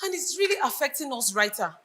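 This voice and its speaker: A woman with a Nigerian accent playing a grieving, idealistic reformer in a live stage drama, declaiming in measured oratorical phrases. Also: high-pitched, big pitch swings, sharp tone, heavily acted. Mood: wistful, earnest.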